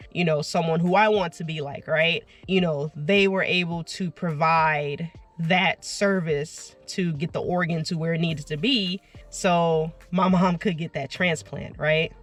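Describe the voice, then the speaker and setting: A woman's voice: on the low side, steady tone, moderate pace, hardly any pauses, soft background music. A woman vlogging casually to her viewers, a bit congested and nasal from getting over an illness, chatty with frequent "you know" and "right?" asides. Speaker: vlogger and delivery driver. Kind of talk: casual vlog talk to camera.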